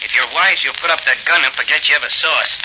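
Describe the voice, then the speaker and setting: An actor playing a bossy racketeer thug, barking tough slang in a scratchy, muffled 1940s radio crime drama. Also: male voice, fairly high pitch, fast, lightly acted. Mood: menacing. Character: brusque, bossy racketeer thug named Froggy. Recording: scratchy, muffled 1940 radio broadcast recording.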